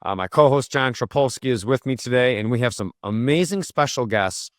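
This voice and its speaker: A male podcast host at a middle pitch who sounds eager as he opens the show. He often stops and restarts his phrases while he talks. The sound is dry and close to the mic. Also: animated, brisk, no room sound. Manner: enthusiastic, restarts and self-corrections. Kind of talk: podcast interview.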